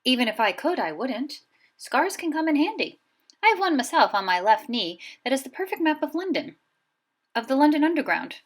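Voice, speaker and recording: A woman voicing an elderly male wizard headmaster who speaks calmly and dryly. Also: sits high, a little fast, voice pushed up. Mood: wry.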